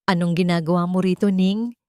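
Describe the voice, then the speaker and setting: A Filipino woman voicing a rich lady of the house, calm and cold with a veiled threat. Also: lowish voice, fairly quick, clear, resonant tone, dry recording, studio quality, close to an everyday voice. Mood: coolly threatening.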